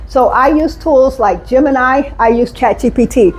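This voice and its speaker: A woman giving a live safety talk to a conference audience, energetic and engaging, often asking the room questions. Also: sits high, normal speed, rough recording. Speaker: safety speaker.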